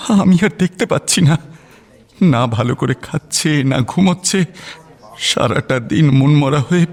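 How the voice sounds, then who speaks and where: An actor playing a distraught middle-aged husband in a radio drama, pleading and halting as he repeats himself. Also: mid-range voice, gravelly. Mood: pleading, anguished.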